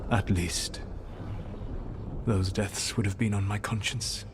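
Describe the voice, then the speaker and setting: An actor playing a solemn, proud ancient elven mage in a video game, speaking in formal, measured, grave diction. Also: male voice, lowish voice, fairly even intonation, big-room sound, background noise. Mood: solemn conviction. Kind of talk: dramatic video game dialogue.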